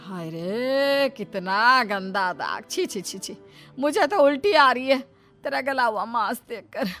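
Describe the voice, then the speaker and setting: A woman voicing a jealous older mother-in-law in a cartoon story, with drawn-out, whiny complaining. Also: on the high side, animated, a bit bright, soft background music. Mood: scolding. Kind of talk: Hindi cartoon story voice acting.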